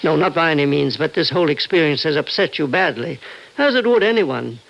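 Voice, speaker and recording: A man's voice: on the high side, animated, vocal fry. An actor playing a concerned doctor in an old-time radio drama, earnest and hesitant as he reasons things through. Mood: earnest, reassuring. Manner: earnest, hesitant, measured persuasion. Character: concerned, reasoning older male doctor and confidant. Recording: dull vintage radio recording.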